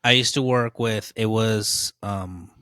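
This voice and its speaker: A man's voice: fairly low pitch, takes it slowly, bright, forceful tone, clear, resonant tone, no room sound, clean studio sound. A man hosting a casual podcast, laid-back and teasing, often laughing. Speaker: podcast host.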